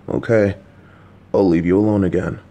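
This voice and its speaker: A man voicing a kind, worried, clueless father who talks to his child in a gentle, patient, anxious, rambling way. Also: low voice, dull audio. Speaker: voice actor. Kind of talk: audio roleplay for a listener.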